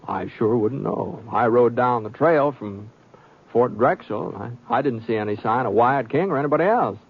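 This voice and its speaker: An actor playing a modest, flustered Old West gunfighter with an American accent, hesitant and folksy, in old-time radio western style. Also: male voice, mid-range voice, expressive, moderate pace, slightly rough. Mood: flustered, insistent. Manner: hesitant, folksy, stammering protests. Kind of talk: old-time radio western acting with first-person narration. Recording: dull, muffled vintage radio recording.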